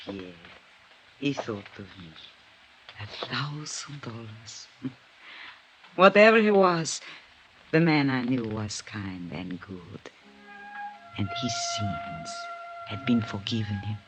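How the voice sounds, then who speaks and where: The speaker is an actor, a woman playing a sorrowful, loyal housekeeper in an old radio drama, halting and emotional. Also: extremely low pitch, lively intonation, highs cut off, music in the background, exaggerated voice. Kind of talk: 1950s radio drama acting.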